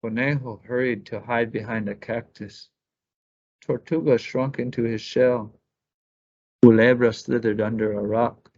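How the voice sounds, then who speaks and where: A man narrating a children's picture book aloud, expressive and deliberate. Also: medium pitch. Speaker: storybook reader. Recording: slightly dull lo-fi recording.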